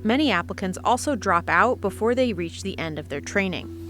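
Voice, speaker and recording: A woman's voice: medium pitch, lively intonation, fairly quick, a bit bright, soft background music. A woman reading scripted narration for a news documentary report.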